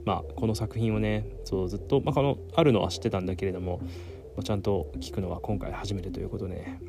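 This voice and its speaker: Guy with a low creaky voice rambling casually, kinda giggly, music playing behind him. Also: steady tone, fast talker. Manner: giggly, self-amused. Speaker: host of a solo talk show. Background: background music.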